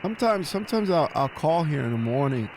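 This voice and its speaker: A man's voice: fairly high pitch, very animated, brisk, background noise. A man who is an amateur radio operator, chatting casually and making radio calls. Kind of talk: casual chat and radio calling with phonetic alphabet.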